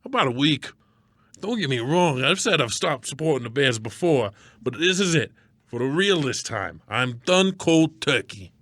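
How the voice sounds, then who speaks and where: A man's voice: medium pitch, sing-song, moderate pace, a bit bright, dry recording. Man playing a blustery, griping radio producer, dry sound. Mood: aggrieved melodrama. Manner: wisecracking, melodramatic, folksy slangy talk. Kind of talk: scripted radio comedy skit.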